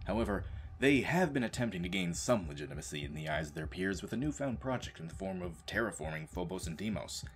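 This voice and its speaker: A man playing a sardonic, very deep-voiced space marine. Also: fast, a little hiss or hum. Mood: dry, explanatory. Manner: sardonic, deadpan, brooding. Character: world-weary sardonic space marine demoted to janitor, man. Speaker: narrator of an audio drama. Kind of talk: dramatic first-person scripted narration.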